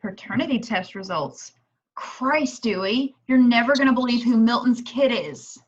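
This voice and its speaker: A woman's voice: fairly high pitch, expressive, normal speed, husky. A woman playing a brash woman reporter in a horror script reading, on dull lo-fi audio. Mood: wry, urgent.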